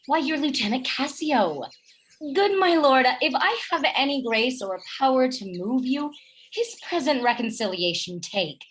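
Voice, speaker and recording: Woman acting devoted noble wife, persistent, animated high voice, pleading, Shakespearean audio play, faint noise. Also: full character voice, low-quality recording. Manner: pleading, expressive.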